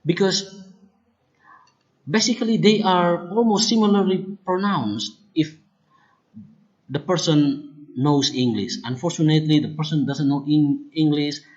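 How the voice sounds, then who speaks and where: A speaker with an Indonesian accent whose first language is Kupang Malay, presenting research findings in a deliberate, explanatory way. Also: medium pitch, muffled recording. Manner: deliberate and explanatory, often starts points with "look" or "now". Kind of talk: academic presentation on language errors.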